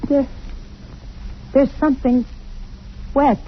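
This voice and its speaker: A fragile, frightened wife in an old radio horror play. Her voice is high and her phrases halting and fearful. The sound is muffled, with clear crackle and noise. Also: little pitch movement. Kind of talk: 1940s radio suspense acting.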